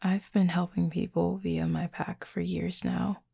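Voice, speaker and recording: A woman plays a confident, teasing werewolf woman in an intimate audio roleplay. Her voice is low and dark, breathy and hushed, with a gentle manner. She keeps it very even and close, in a dry recording. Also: unhurried, dry recording, full character voice. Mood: reassuring, teasing. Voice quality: breathy, husky, fry-laden murmur. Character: flirty, caring female werewolf, confident and teasing.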